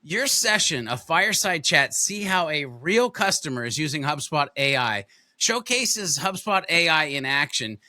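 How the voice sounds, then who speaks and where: A man's voice: on the high side, big pitch swings, moderate pace, bright, forceful tone, no echo. A man hosting an interview show, upbeat and enthusiastic as he asks his guest questions. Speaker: podcast host. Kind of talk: casual interview chat.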